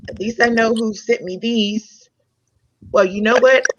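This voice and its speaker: A woman's voice: a little high, fairly even intonation, unhurried, close to an everyday voice. A woman playing a hurt, proud young woman turning away a suitor in a comedic table read, wounded and firm. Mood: hurt, indignant. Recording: dull lo-fi sound with faint noise.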